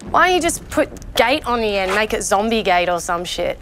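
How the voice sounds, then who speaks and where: A woman's voice: mid-range voice, animated, normal speed, fairly bright tone, slight background noise, natural voice. A woman playing a jaded, opinionated vegetarian zombie in a comedy film, talking in a sardonic, sweary, conversational rant. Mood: scornful, exasperated. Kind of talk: naturalistic short-film comedy acting, mock interview.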